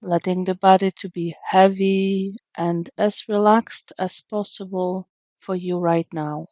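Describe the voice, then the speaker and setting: A woman leading a guided meditation in a gentle, soothing, unhurried way with long pauses, in a slightly dull recording. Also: medium pitch, steady tone, no echo. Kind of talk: guided meditation, slow calm narration.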